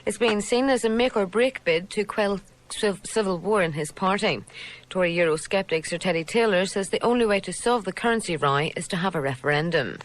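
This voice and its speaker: A woman with a Northern Irish accent reading a scripted radio news bulletin in a formal, measured way. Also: medium pitch, rough recording. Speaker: radio newsreader.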